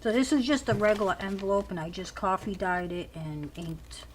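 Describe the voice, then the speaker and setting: A woman's voice: medium pitch, steady tone, normal speed. A woman with a scratchy voice, chatting casually as she shows off each page of a handmade journal at home. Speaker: crafter showing a handmade journal. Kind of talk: casual show-and-tell.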